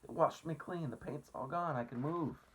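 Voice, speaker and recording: A man voicing a boastful, excitable centipede in a storybook read-aloud, loud and crowing. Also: on the high side, normal speed, breathy edge, pitched above the performer's own voice. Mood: excited. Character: excitable boastful male centipede, singing and shouting gleefully.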